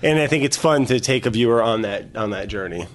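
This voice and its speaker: A man's voice: medium pitch, lively intonation, a little fast. Laid-back New man, chatty guest. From northern New Jersey. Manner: laid-back, rambling, frequent fillers and laughs. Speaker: cooking video creator, guest. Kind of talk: casual interview chat.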